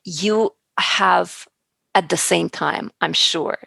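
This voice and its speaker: Gravelly low-voiced woman, earnest and emphatic. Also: slow pace, bright, forceful tone, dry recording. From outside the US. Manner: earnest, emphatic, repeats words for stress. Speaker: public speaking coach, guest. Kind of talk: conversational interview.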